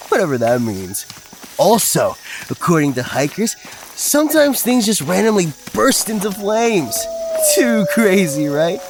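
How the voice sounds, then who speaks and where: Brash young male ghost-hunt host played by a man: high voice, animated, crude slang, audio drama. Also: slight background noise. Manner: cocky, slangy, hyped-up vlogger patter.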